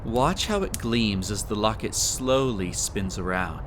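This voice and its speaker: A man playing a possessive, wicked prince in a hypnosis roleplay audio, speaking in a deliberate, coaxing, commanding and pompous way. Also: fairly low pitch, animated, noisy background. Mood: coaxing, hypnotic. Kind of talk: scripted roleplay audio, slow hypnotic monologue.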